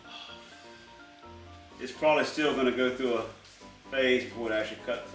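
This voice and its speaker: A man's voice: mid-range voice, moderate pace, fairly bright tone, far from the mic. Male vlog co-host, casual and wisecracking, recorded in an echoey indoor space with faint music behind. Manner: teasing, wisecracking. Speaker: co-host of a home unboxing vlog. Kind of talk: casual joking banter. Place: indoors in a trailer home. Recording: roomy echo, off the mic, faint music.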